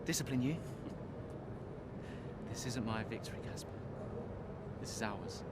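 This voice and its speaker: A man's voice: medium pitch, measured pace, natural voice. A young man playing an eager, sincere rookie racing driver in a video game cutscene. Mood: elated, sincere. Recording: loud outdoor vehicle noise.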